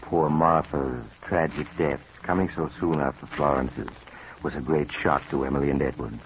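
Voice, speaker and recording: An actor playing an ominous, knowing storyteller, narrating a macabre 1950s radio mystery in a measured, sombre, formal way. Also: male voice, a bass voice, fairly even intonation. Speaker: narrator and host. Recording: dull, noisy vintage radio recording.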